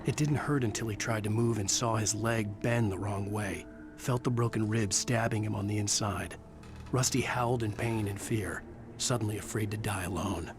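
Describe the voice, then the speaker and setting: A man narrating a horror video game in a measured, brooding voice as the writer lead. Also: fairly low pitch, music in the background.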